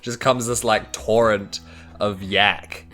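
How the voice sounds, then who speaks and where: A man's voice: lowish voice, steady tone, takes it slowly, sharp tone. A man with a New Zealand accent playing a tabletop role-playing game, thinking aloud in casual, hesitant chat.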